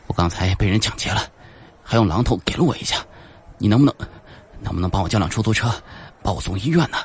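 A man's voice: on the low side, animated, quick pace, husky. A man voicing a predator who pretends to be a weak, wounded victim in an audiobook, stammering and breathless. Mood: pained pleading. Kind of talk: audiobook character acting.

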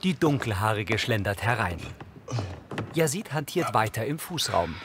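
A man's voice: on the low side, sing-song, normal speed, noisy background. A man reading scripted audio description narration for a television film.

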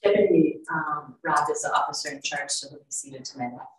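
Low-voiced American woman, distant and echoey. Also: fairly quick. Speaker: prosecutor. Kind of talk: brief formal courtroom statements. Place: courtroom, far from the mic. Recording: echoey distant courtroom microphone, faint noise.

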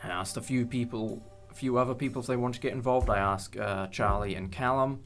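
A man's voice: medium pitch, a little fast, a bit bright, soft background music. A young man hosting a casual behind-the-scenes chat about a production, speaking in a hesitant, appreciative way. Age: young adult. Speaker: host and audio drama producer.

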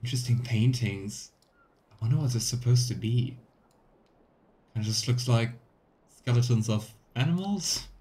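A man's voice: mid-range voice, a little slow, pauses often, a little mellow. A man in his thirties giving casual game commentary as a streamer, musing aloud to himself. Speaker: let's play streamer. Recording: game ambience noise behind voice. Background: video game ambience.

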